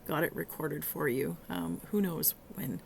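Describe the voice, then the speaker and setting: A middle-aged woman hosts a solo coaching podcast, her voice hoarse and gravelly and pitched on the low side. She explains things in a conversational way and sometimes stops and restarts a phrase. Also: slight background noise. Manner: warm coaching tone, conversational with some halting restarts. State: hoarse, rough voice. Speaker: podcast host and virtual assistant coach.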